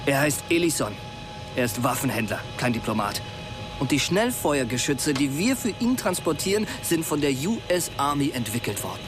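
An actor playing a fervent, unbending patriot in a radio drama, arguing insistently. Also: male voice, medium pitch, sing-song, moderate pace, natural voice. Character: fervent male patriot aircrew member, conspiratorial, unbending. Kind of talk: naturalistic German radio drama acting. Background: loud music under the dialogue.

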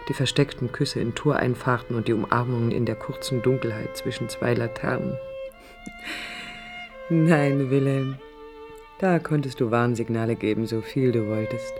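An actress playing a disillusioned young woman architect in a radio drama, recalling her life in a low, husky, intimate monologue. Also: normal speed, over music. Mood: wistful, ironic, then impassioned. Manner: reflective, intimate, literary.

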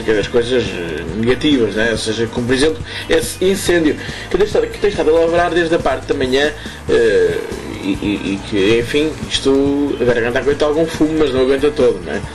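A man with a European Portuguese accent hosting a casual radio show, hoarse from smoke, rambling and correcting himself with chuckling asides. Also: medium pitch, animated, fairly quick, music in the background, rough recording. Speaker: radio host.

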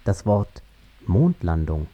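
An actor playing a man who chronicles and doubts history in a measured, documentary-like radio drama style. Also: sits low, sing-song, dark tone, dull audio, exaggerated voice. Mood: measured, doubting. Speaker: radio play speaker. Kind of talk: scripted German radio drama, documentary-like narration.

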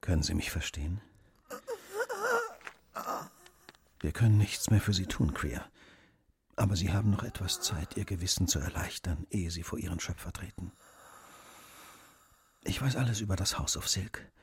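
An actor playing an incisive, self-assured detective in a German radio drama, eloquent and commanding, moving between hushed and emphatic delivery. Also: male voice, mid-range voice, big pitch swings, a little fast, a little hiss or hum, lightly acted. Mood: grave, urgent.

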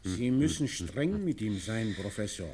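A man's voice: lowish voice, sing-song, a little slow, noisy background. An actor playing a concerned town mayor in a German radio play, formal and emphatic in his delivery. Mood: firm.

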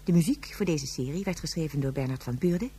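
A woman announcer reading radio drama credits in a measured, formal, scripted way. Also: low voice, warm tone, slightly rough.

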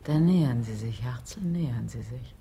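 An actress playing Death as a sardonic, world-weary lady in a radio play, with measured, mocking condescension. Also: rumbling low, lively intonation, creaky, dark tone, husky, heavily acted. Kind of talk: radio drama acting.

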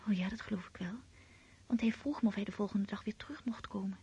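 A woman's voice: medium pitch, fairly even intonation, fast talker, dark tone, highs cut off. An actress playing a romantic, anxious girl of seventeen in a radio play, in an intimate whispery voice. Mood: dreamy, happy. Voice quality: breathy, whispery.

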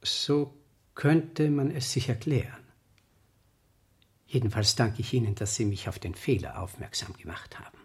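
An actor playing a deferential, somewhat flustered photo studio boss in a radio play. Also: male voice, medium pitch, lively intonation, unhurried, darkish tone. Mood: agreeing politely. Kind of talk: 1970s German radio play acting.

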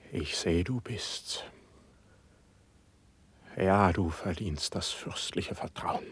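An actor playing an anguished, distrustful poet on the edge of madness, declaiming classical verse in a radio play. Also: male voice, on the low side, creaky, slightly rough, dry recording, heavily acted. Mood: bitter, wounded. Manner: declamatory, lamenting, impassioned.

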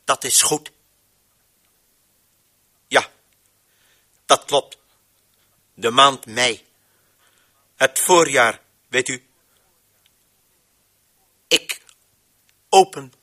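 An actor playing a stern, brooding master of voices in a radio play, commanding and deliberate, with theatrical pauses. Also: male voice, mid-range voice, pushed and bright, exaggerated voice. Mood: measured, satisfied. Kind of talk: radio play monologue, declamatory.

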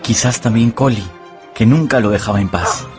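A man's voice: mid-range voice, normal speed. Male narrator for radio drama: mid-range voice, scripted storytelling, light music bed.

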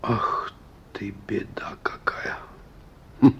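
An actor playing a terse, weary senior police investigator with a subdued manner in a Russian radio play. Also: male voice, drawn-out words, pushed and bright, whispered. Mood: subdued, troubled.

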